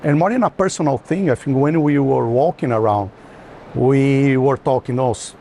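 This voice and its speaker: A man's voice: mid-range voice, moderate pace, dark tone. A man speaking as an art collector in informal conversation, hesitant and often restarting his phrases. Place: art fair, walking tour. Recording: background noise of a busy hall.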